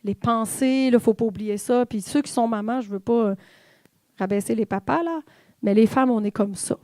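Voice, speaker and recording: A woman's voice: a little high, normal speed, darkish tone, no room sound. A woman with a Québécois French accent giving an informal, conversational sermon in church, with fillers and the odd whispered aside. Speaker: church speaker.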